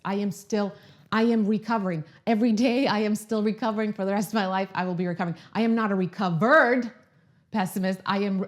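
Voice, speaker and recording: A woman in her thirties from Croatia, hosting a casual live-stream chat in a chatty, upbeat way, rambling with restarts and asides. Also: medium pitch. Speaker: lifestyle video host.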